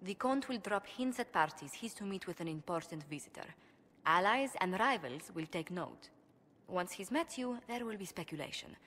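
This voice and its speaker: A woman's voice: mid-range voice, lively intonation, moderate pace, a bit bright. Young noblewoman, video game drama, proud, earnest, worried, formal diction, long reverb. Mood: composed, explaining. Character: proud, anxious young noblewoman diplomat, dutiful and composed. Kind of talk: video game cutscene acting.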